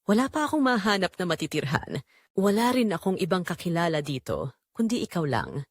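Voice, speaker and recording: A woman's voice: fairly low pitch, very animated, fairly quick, no echo, studio quality. A woman playing a confident young female cousin in an audio drama, sweet, coaxing and teasing. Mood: sweet pleading.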